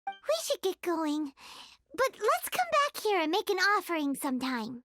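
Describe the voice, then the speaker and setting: Tiny female game fairy, extremely high-pitched, childlike, excitable, whiny, very animated intonation. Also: unhurried, a little hiss or hum, heavily acted. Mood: chirpy, earnest. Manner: speaks of self in third person, exclamatory. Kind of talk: video game character acting.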